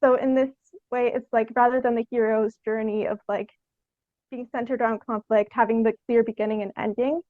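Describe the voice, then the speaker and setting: A young woman speaks in a fairly high voice, feeling her way through interview answers. She is thoughtful and hesitant, peppering sentences with "like". The recording is very dry and slightly phone-like, with a thin band. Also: fairly even intonation, fairly quick, no echo. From Canada. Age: young adult. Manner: hesitant, thoughtful, frequent "like" as filler. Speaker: podcast guest, theatre artist.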